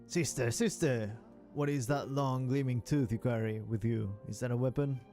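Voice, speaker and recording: An earnest, pious pilgrim bug speaks of faith and prayer, as a man reads the lines aloud. The reading is halting, with a stumble now and then. Faint game music sits behind the voice. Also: medium pitch, mellow, natural voice. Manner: halting reading aloud, tripping over words.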